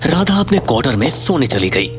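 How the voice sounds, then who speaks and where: A man's voice: mid-range voice, very animated, fairly quick, music in the background. A man narrating an audio story in a scripted, dramatic style.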